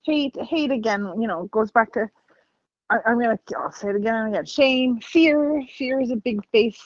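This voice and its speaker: A woman's voice: on the high side, no room sound. Reflective woman on a muffled line. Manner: reflective, talks about feelings, halting. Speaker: podcast co-host. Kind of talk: casual conversation.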